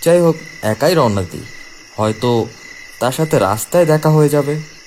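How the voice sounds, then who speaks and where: A man's voice: on the high side, lively intonation, normal speed, slight background noise. A man voicing an anxious traveller in a horror cartoon, dramatic and full of exclaimed questions. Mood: uneasy, puzzled. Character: anxious male traveller lost at night in horror tale. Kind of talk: animated horror cartoon dialogue. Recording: loud music behind.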